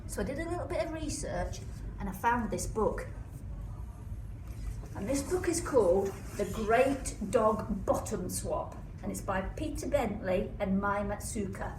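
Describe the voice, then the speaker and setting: A woman's voice: mid-range voice, animated, measured pace. Woman reading a storybook, echoey and distant. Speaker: teacher reading aloud. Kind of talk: children's storybook read-aloud in rhyming verse. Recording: echoey room, distant mic, noisy background.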